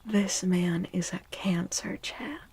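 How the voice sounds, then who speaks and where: A woman speaking softly and gently in a breathy near-whisper, chatting casually as an ASMR streamer giving readings. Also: on the low side, fairly even intonation, slow pace. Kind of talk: soft-spoken ASMR, casual chat.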